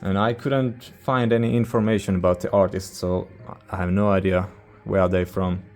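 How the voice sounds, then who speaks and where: Male reviewer, non-native English speaker: low-pitched, very even intonation, informal and halting, rambling casually. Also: faint music behind. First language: not English. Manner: hesitant, informal, searching for words. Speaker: music reviewer, channel host.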